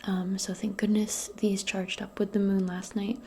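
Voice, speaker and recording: A woman role-playing in soft-spoken ASMR, speaking gently and soothingly in a breathy, mostly whispered voice. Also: mid-range voice, level tone, a little hiss or hum. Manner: gentle, soothing, unhurried, conversational. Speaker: ASMR performer role-playing a modern witch.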